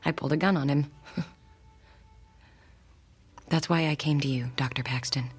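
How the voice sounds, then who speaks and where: An actress playing a distraught married woman confessing a strange experience to her psychiatrist in a radio drama, anxious and halting. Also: low voice, husky, soft background music. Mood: shaken, confessing.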